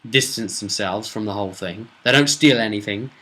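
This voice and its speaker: A man's voice: on the low side, normal speed, bright, forceful tone. A man chattily recapping a tabletop role-playing session as game master, telling it in a rambling, casual way.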